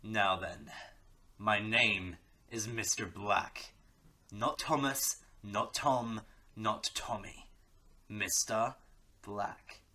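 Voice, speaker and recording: A man's voice: fairly low pitch, fairly even intonation, very slow, long pauses, bright, forceful tone, exaggerated voice. A man playing an arrogant, menacing crime boss in an audio roleplay, speaking with theatrical condescension. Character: menacing, arrogant male crime boss, smug mentor turned captor. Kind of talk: audio roleplay villain acting.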